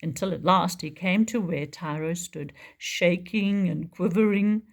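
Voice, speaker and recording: A woman teacher reading a picture book aloud for young children in an expressive storytelling manner. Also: lowish voice, unhurried, vocal fry. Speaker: preschool teacher.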